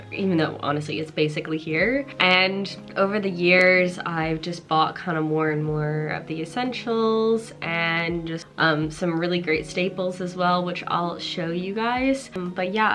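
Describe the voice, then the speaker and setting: A young woman vlogger chatting casually about her clothes, a little croaky from a cold, with lots of fillers. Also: on the low side, a bit bright. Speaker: fashion vlogger. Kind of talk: casual chat showing clothes. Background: lo-fi music.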